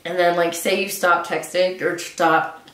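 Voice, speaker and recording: A young woman with a stuffy nose, musing casually to camera in a relaxed way, with lots of filler words. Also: lowish voice, measured pace. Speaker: vlogger. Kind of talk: casual reflective monologue to camera. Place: small room indoors, seated in a hanging chair. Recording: roomy echo, mic at a distance.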